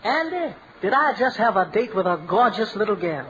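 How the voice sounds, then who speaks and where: An actor playing a boastful, carefree young sailor who brags about dates, in 1940s radio comedy style. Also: male voice, high voice, very animated, normal speed, fairly bright tone. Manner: bragging, rhyming, showy. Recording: muffled old radio broadcast with hiss.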